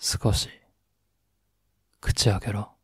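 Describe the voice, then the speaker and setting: An actor playing a domineering young man who scolds and gives orders to his lover in a slow, low murmur for a romantic audio drama. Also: fairly bright tone, dry recording.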